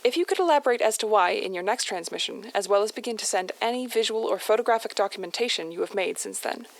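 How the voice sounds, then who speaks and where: A woman playing a formal, composed agency handler in an audio drama, speaking in a measured, official, politely firm way. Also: mid-range voice, gravelly, no room sound, natural voice. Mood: businesslike. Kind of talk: audio drama scripted radio message.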